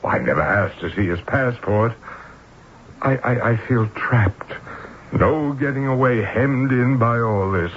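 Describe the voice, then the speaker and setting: A man's voice: medium pitch, measured pace, natural voice. A man playing a wealthy, anguished man in a radio mystery, agitated, defensive and melodramatic. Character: wealthy, anguished man feeling trapped, prickly and brooding. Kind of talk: 1970s radio mystery acting. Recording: muffled old radio recording, faint hiss.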